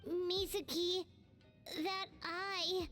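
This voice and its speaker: A woman voicing a small, excitable, childlike fairy companion in a video game, cheerful and earnest, with exclamatory delivery. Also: very high-pitched, extremely slow, faint music behind, exaggerated voice. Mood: alarmed. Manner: speaks of self in third person, exclamatory.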